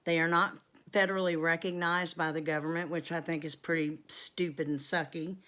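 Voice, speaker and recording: A woman in her fifties with a drawl talks straight to camera. Her voice sits on the lower side, and she rambles through a casual Q and A, filling pauses with ums and wandering off into asides. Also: little pitch movement, fairly bright tone. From North Carolina, USA. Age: 50s. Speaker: homestead vlogger.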